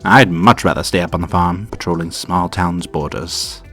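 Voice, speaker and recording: A man's voice: deep voice, normal speed, fairly bright tone, slightly rough, soft background music, heavily acted. A man playing a proper, grumbling, tiny Lilliputian police sergeant in a comic dub. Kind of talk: comic dub character acting.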